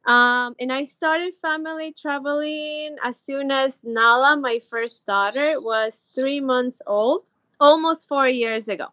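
A woman's voice: high-pitched, slow pace, pushed and bright, dry recording. A woman from Ecuador in her thirties, a podcast guest chatting eagerly with lots of "like" fillers. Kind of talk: casual interview chat.

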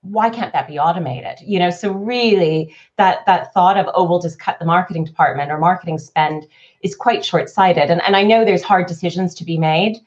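Canadian woman, forties, low-ish voice, lively marketing guest, dull webinar audio. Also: normal speed. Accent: Canadian, slightly softened by years in the UK. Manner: fluent, animated, talks in long run-on explanations. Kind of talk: conversational webinar interview. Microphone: video call.

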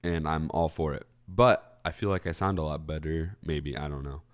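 A man's voice: very deep voice, steady tone, moderate pace. A young man with a stuffy, congested voice hosting a college radio show, chatting casually and correcting himself as he rambles. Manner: rambling, self-correcting, clears throat. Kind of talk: casual solo podcast chat. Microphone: studio microphone.

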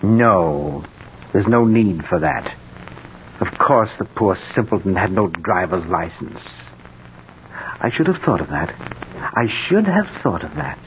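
Pompous, unhinged killer, old muffled radio. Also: male voice, deep voice, very animated, a little slow, pauses often. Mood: arrogant, scornful. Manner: pompous, contemptuous. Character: arrogant murderer, self-proclaimed genius, defiant and unhinged. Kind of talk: 1940s radio thriller acting. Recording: muffled, noisy 1940s radio recording.

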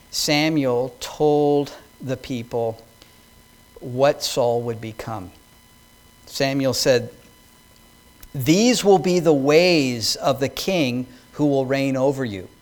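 A man preaching a sermon to his congregation, building emphasis as he reads scripture aloud. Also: medium pitch. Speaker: pastor. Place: church hall with congregation.

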